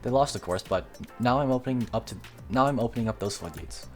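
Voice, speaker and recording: A man's voice: medium pitch, expressive, fast talker, a little mellow, faint music behind, natural voice. A man voicing an upbeat, confident young woman who is a student club president in a visual novel. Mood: boastful.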